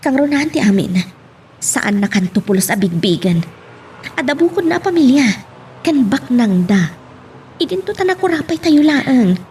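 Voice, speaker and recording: A woman's voice: sits high, big pitch swings, moderate pace, pauses often, darkish tone, recorded from a distance, a little hiss or hum. A woman playing a hurt, protective single mother in an emotional Ilocano drama, with an Ilocano accent. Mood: upset, reproachful. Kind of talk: Ilocano radio-style love drama acting.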